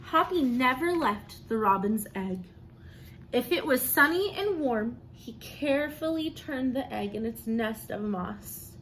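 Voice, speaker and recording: A woman reads a children's picture book aloud in the manner of an encouraging teacher. Her voice sits a little high and she takes her time, speaking expressively to young listeners. There is some noise behind her. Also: expressive, fairly bright tone, low-quality recording. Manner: encouraging, pausing to ask and praise as a teacher does.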